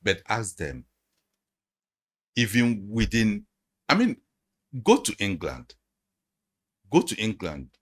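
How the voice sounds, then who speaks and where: A man speaks as a podcast guest, his voice sitting fairly low. He explains things emphatically, often repeating words and phrases as he builds his point. The talk is loose and conversational. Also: huge pitch swings, slow, long pauses. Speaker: guest analyst. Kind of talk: conversational interview.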